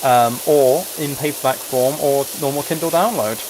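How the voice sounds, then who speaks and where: A man with a mid-pitched voice talks casually on a livestream as he plays a game. He is soft-spoken and addresses his viewers directly. Clear background noise of game ambience and spraying sits behind him. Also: normal speed. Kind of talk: casual livestream chat while playing a game. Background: game ambience and water spray.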